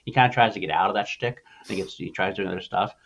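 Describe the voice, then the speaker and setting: A man's voice: low-pitched, racing, vocal fry, a bit bright. A middle-aged man hosting a casual movie discussion, rambling along with enthusiasm. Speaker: podcast host.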